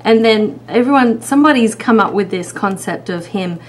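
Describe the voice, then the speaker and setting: A woman's voice: mid-range voice, expressive, moderate pace, a little hiss or hum. A woman with an Australian accent co-hosting a live craft show, bubbly and gushing with enthusiasm.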